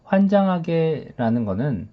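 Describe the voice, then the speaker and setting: A man's voice: on the high side, big pitch swings, unhurried. A man from South Korea giving an informal vocabulary lesson, explaining step by step and checking in with rhetorical questions. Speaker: Korean language teacher. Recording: dull, lo-fi sound.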